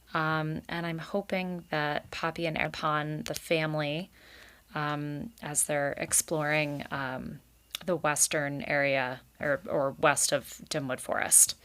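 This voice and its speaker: A woman's voice: sits low, a bit bright. A woman reading a children's book aloud as a storyteller and commenting on it casually.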